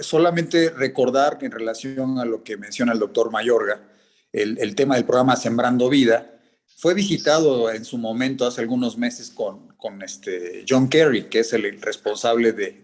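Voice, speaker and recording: A man from the audience making a comment in a panel discussion, speaking hesitantly and filling pauses with many filler sounds. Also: mid-range voice. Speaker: audience participant.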